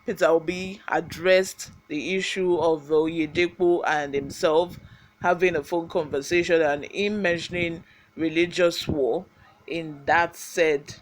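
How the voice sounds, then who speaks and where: Higher-pitched Nigerian man presenting news. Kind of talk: scripted news narration with informal commentary.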